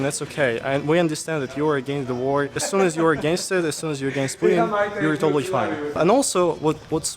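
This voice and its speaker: A young man from Russia with a Russian accent, answering interview questions in loose, casual talk full of "like" fillers. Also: on the high side. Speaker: interviewee, YouTuber. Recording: street noise behind, traffic.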